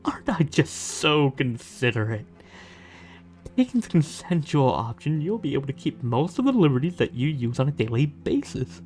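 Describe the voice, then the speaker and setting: A man playing a gently menacing, obsessive lovestruck fan in an ASMR roleplay, intimate and teasing, sometimes dropping to a whisper. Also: on the high side, big pitch swings, normal speed. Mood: playful menace. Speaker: amateur voice actor.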